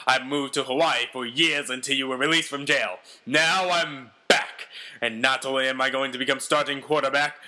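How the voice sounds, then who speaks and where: A man's voice: mid-range voice, steady tone, normal speed, pushed and bright. A man voicing a vengeful football rival returned from presumed death, menacing and melodramatic.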